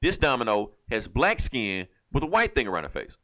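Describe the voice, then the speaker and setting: A man's voice: mid-range voice, wildly swooping pitch, brisk, bright, forceful tone. A man co-hosting a podcast, telling a story in a casual, rambling, slangy way with some swearing. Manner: rambling, slangy, acts out lines in passing. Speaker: podcast co-host reviewing comic books. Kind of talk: casual, profane storytelling recap.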